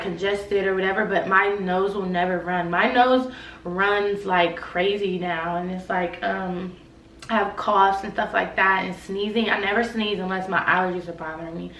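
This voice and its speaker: A woman's voice: mid-range voice, fairly bright tone. A young woman vlogger with a stuffy nose, chatting casually and rambling with lots of "like" and "y'all". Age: young adult. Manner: rambling, filler-heavy, frequent "like" and "y'all". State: stuffy nose, sick with a cold-like illness. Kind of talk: casual chat to camera. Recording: echoey room, voice a bit far from mic.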